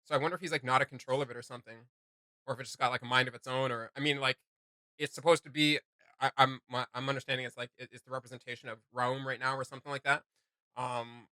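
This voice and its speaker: A man hosting a reaction video, chatting casually in an animated, rambling, speculative way. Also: mid-range voice, fast talker, no room sound. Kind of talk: casual chat, reacting to game voice lines.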